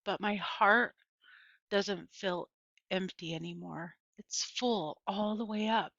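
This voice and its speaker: Woman reading as an earnest, bright-voiced young girl. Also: mid-range voice, slow, gravelly, no room sound, full character voice. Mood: tender, earnest. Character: earnest young Southern girl, tender and plucky. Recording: dull, lo-fi, dry close recording.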